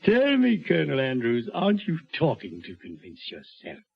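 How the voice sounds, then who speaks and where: An actor playing a big, compassionate, persuasive gentleman in 1940s radio acting style. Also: medium pitch, huge pitch swings, normal speed, gravelly, slightly rough, full character voice. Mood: earnest, pressing. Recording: dull vintage radio recording.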